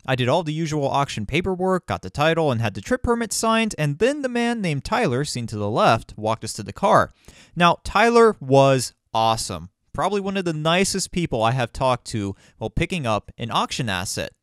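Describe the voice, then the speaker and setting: A man narrating a recap voice-over in a relaxed storytelling way. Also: fairly high pitch, moderate pace, no echo, studio quality. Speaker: vlogger.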